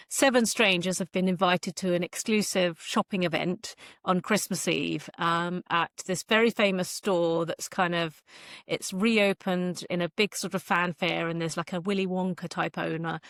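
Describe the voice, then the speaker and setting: A woman's voice: on the low side, dry recording, clean studio sound. A woman author in a casual interview, talking in a wry, chatty way.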